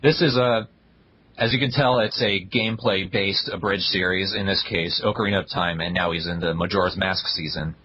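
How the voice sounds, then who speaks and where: A man's voice: deep voice, fairly even intonation, brisk, pushed and bright, highs cut off, a little hiss or hum. A young man co-hosting a casual podcast, reviewing web videos in a blunt, dry way. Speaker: podcast co-host.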